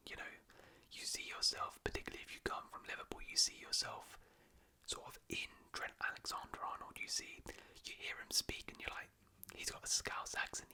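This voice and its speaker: A man whispering casual, rambling talk as a football fan, with frequent restarts. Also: extremely high pitch. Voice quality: soft whisper throughout. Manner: rambling, conversational, restarts often.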